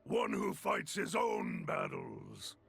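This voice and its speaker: An actor playing a proud, noble warrior in a video game, declaiming earnestly in a gritty voice. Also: male voice, sits high, huge pitch swings, slow, creaky, fairly bright tone, slightly rough, no room sound, heavily acted. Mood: proud, defiant. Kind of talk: video game character voice lines.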